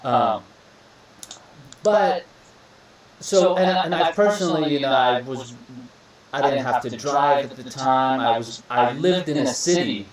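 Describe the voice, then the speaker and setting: A young man from Iraq hosting a podcast interview, relaxed and chatty, often repeating words and calling his guest "bro.". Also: mid-range voice, a little slow, long pauses, far from the mic. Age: young adult. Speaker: podcast host. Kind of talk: casual interview chat. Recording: echoey room, voice a bit off-mic.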